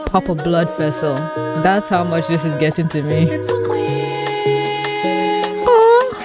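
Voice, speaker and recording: A young adult woman giving a casual live reaction, gushing and exclaiming with excitement. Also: lowish voice, takes it slowly, over loud music. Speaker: video creator reacting to a webcomic. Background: pop song playing.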